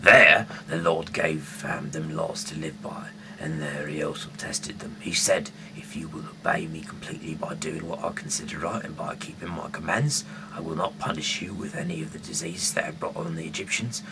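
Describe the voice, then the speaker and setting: A man with a deep, often hushed voice reads scripture aloud informally, with halting, casual asides. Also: steady tone, vocal fry. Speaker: host reading the Bible aloud. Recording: noisy amateur recording with hum.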